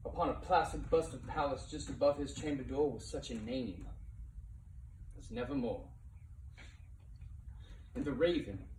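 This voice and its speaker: Theatrical man reciting poetry in a roomy space. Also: a little high. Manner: theatrical, swelling from hushed to impassioned. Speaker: narrator reading a poem aloud. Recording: roomy, distant mic with faint noise.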